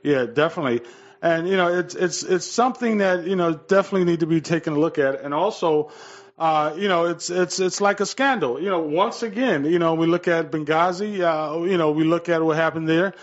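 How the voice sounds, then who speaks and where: A man's voice: a little high, brisk, muffled recording. A man hosting a political radio talk show, talking in hesitant, repetitive phrases and readily agreeing.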